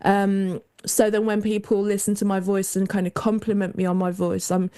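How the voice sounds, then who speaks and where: A woman whose voice sounds youthful, with a mellow, warm tone and a smooth, resonant finish. She talks reflectively, letting her thoughts run on in long, unhurried stretches. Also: medium pitch, flat delivery. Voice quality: young-sounding voice. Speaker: guest, mentor to creative women. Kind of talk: casual conversational interview.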